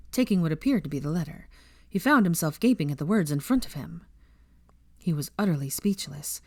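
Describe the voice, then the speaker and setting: A woman's voice: low voice, a little mellow, no echo, clean studio sound. A woman reading fiction aloud as an audiobook narrator, delivering scripted story narration.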